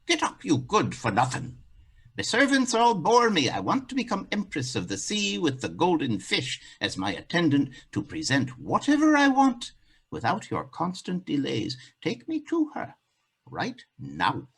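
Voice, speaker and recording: A man's voice: on the high side, huge pitch swings, measured pace, vocal fry, husky, full character voice. A man voicing a greedy, scolding wife who snaps insults and commands in a folktale play. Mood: haughty, bossy. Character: shrill, scolding, greedy peasant wife, cursing and imperious.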